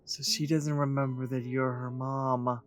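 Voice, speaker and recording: A man's voice: mid-range voice, level tone, a little slow, faint music behind. A man giving casual, informal, reactive commentary as he plays through a video game. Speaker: let's play streamer. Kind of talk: casual gameplay commentary, reading along.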